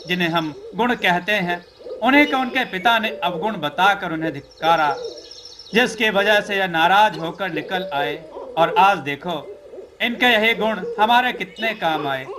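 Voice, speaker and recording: A man's voice: sits high, pushed and bright, a little hiss or hum. A man reading a scripted folk tale as a storyteller.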